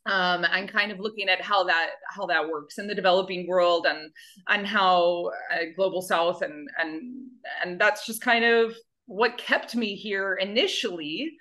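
A woman's voice: lowish voice, measured pace, pushed and bright. A woman guest who founded an animal rescue, chatting casually in a rambling, self-deprecating way. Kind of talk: casual interview chat.

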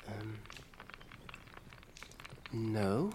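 A British actor playing an earnest, polite hobbit with a gentle, questioning manner in a radio drama. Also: male voice, fairly low pitch, lively intonation, drawn-out words, a little hiss or hum. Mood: puzzled.

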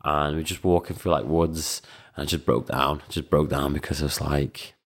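A man's voice: a bass voice, steady tone, normal speed. A Latvian-born man in his twenties with a Scouse accent, a kickboxer and coach talking casually as a guest, full of fillers and restarts. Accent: Liverpool (Scouse). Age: 20s. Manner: rambling, many fillers and restarts, acts out quoted speech. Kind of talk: casual interview conversation.

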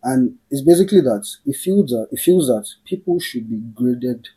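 Mellow husky man talking politics. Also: medium pitch, animated, normal speed, low-quality recording. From Nigeria. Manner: thoughtful, self-correcting, trails off quietly. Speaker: podcast host. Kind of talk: casual political chat.

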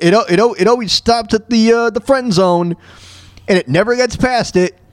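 A man hosting a show, delivering a frustrated, dejected personal rant in a halting, repetitive and emphatic way. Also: high voice, dry recording. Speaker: podcast host.

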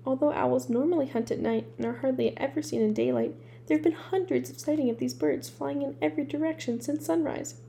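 A woman's voice: high-pitched, fairly quick, vocal fry, mellow, a little hiss or hum. Woman doing a wry TV newscaster man. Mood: formal. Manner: formal broadcast delivery. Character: wry male television newscaster.

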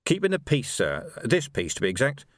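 Guy doing a cop character for an audiobook, polite but deadpan, unflappable, wry, dry close recording. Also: medium pitch, moderate pace, dry recording, pitched above the performer's own voice. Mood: calm. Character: unflappable, wryly polite male police officer.

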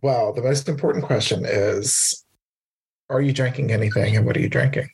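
A man's voice: medium pitch, fairly even intonation, moderate pace, creaky, darkish tone, husky, low-quality recording. A man from Louisiana co-hosting a podcast, chatting casually and laughing a lot. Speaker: podcast co-host. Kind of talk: casual chat.

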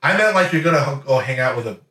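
Bright-voiced man bantering from across an echoey room. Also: medium pitch, racing. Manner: animated storyteller who acts out imagined quotes, profane. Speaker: podcast host. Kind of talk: casual sports banter. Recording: roomy echo, distant from microphone.

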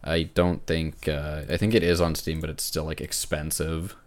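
Male co-host, notably deep voice, informal gaming chat, stop-and-start phrasing. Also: flat delivery, normal speed. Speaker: podcast host. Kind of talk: casual chat about video games.

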